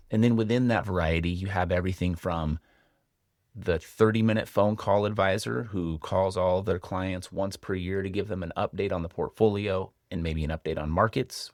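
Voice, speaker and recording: An American man working as a financial advisor and show host, explaining things to viewers in a measured, reflective way with rhetorical questions. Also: sits low, no room sound, studio quality. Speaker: financial advisor hosting a retirement planning show. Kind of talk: explanatory advice talk to camera.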